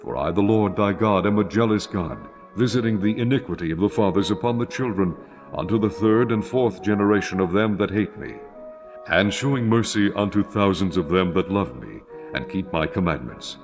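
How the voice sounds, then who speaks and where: A man narrating scripture as a solemn, scripted dramatic reading in measured phrases. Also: on the low side. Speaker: audio Bible narrator. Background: music.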